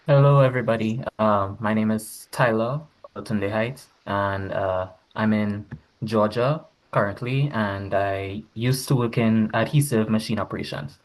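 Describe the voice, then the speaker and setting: A man with a fairly low voice gives a brief introduction of himself. He is a participant speaking on a video call. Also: unhurried. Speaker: training participant.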